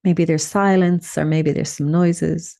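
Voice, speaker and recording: A woman leading a guided meditation, speaking in a soothing, unhurried way with gentle instructions and pauses. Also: sits low, fairly even intonation, gravelly.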